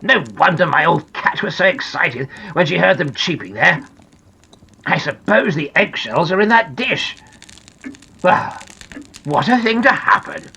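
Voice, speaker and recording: A woman's voice: fairly low pitch, lively intonation, measured pace, vocal fry, pushed and bright, exaggerated voice, rough recording. A woman voicing a fussy, scolding but kindly male gnome from a children's storybook, exclaiming and reproving, with some background noise. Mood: amazed.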